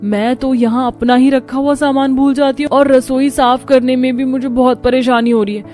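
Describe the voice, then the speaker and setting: A woman's voice: high-pitched, steady tone, moderate pace, warm tone, natural voice. A woman playing a fretful, competitive rich younger daughter-in-law in a cartoon family story. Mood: frustrated. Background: background music.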